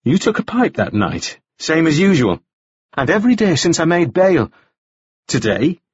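Audiobook role: forceful, suspicious man acted by a man, scornful, lively pitch, husky edge. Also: moderate pace, dry recording, lo-fi sound. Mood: scornful, insistent. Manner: pressing, scornful questioning. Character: gruff, suspicious, exasperated man, forceful and accusing. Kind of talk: audiobook character acting.

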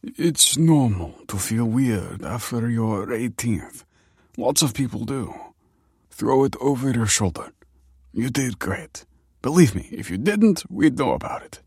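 A kindly old grandfather from an audiobook, acted by a male narrator. His voice is husky and sits higher than the narration. He talks in a wry, reassuring way, and the sound is dry. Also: lively intonation, measured pace, darkish tone, dry recording. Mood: gently reassuring. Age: older. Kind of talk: audiobook character dialogue.

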